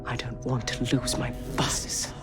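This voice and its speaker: A woman's voice: rumbling low, completely flat delivery, a little slow, loud music behind the voice. An actress playing a haughty, ruthless Victorian businesswoman in a video game, with a poised, silky, formal delivery. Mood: wistful, composed. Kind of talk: video game cutscene acting.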